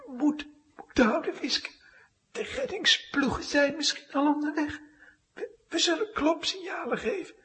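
A woman playing an earnest, brave young boy in a children's audio play, speaking in a breathless, halting way. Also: high voice, lively intonation, fairly bright tone, slight background noise. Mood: urgent encouragement.

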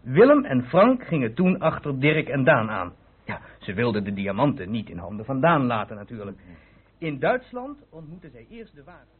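A man's voice: medium pitch, huge pitch swings, normal speed. A man acting a police inspector in a radio play, explaining patiently like a storyteller. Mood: amused, explanatory. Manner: explanatory storyteller, chuckling asides. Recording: muffled phone-like old radio recording.

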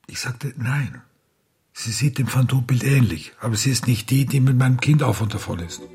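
A man's voice: mid-range voice, expressive, moderate pace, darkish tone, slightly rough. An actor playing a stubborn, grim father searching for his child in a German radio crime drama, terse and insistent. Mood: firm, defiant.

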